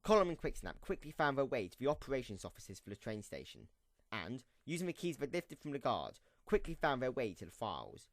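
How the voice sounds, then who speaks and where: A man's voice: mid-range voice, sing-song, a little fast, no room sound. A man reading scripted story narration as the narrator of an audio drama.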